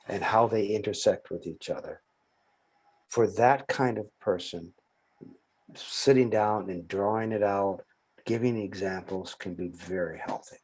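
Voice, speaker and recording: An older man leading a workshop, answering questions on a video call in a measured, explanatory way with soft chuckles. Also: fairly low pitch, slightly rough. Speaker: workshop presenter and psychotherapy trainer.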